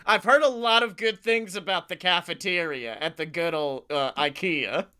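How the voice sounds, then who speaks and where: American man, high bright voice, comedy podcast co-host, jokey, riffing, casual banter. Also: normal speed, smooth.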